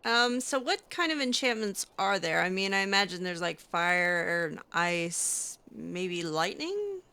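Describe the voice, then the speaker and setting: A woman's voice: lowish voice, big pitch swings, a little slow, bright, forceful tone, clear, resonant tone. A woman doing casual, improvised roleplay commentary over a video game, chatty and playful with a hesitant way of talking. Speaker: gamer roleplaying a character.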